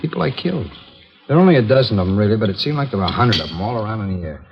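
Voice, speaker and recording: A man's voice: fairly low pitch, sing-song, racing, gravelly. An American man acting a casual, remorseless drifter who confesses to killings in a 1950s radio drama, talking in an offhand, rambling way on a dull, noisy old recording. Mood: haunted, animated. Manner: offhand, matter-of-fact, rambling.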